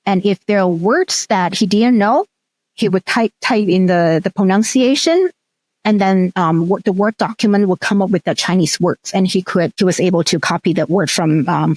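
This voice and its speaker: Woman, middle-aged, from Hong Kong, Cantonese native, mid pitch, dry close sound, thoughtful, lots of ums. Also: darkish tone, no echo. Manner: thoughtful, with frequent um and restarts. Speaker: researcher and guest. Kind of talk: casual interview storytelling.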